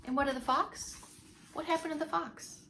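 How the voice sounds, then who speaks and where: Bright-voiced woman reading a children's story. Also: sits high, normal speed, slight background noise. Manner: animated, dramatic emphasis for young listeners. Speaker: preschool teacher reading aloud. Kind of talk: expressive children's story narration.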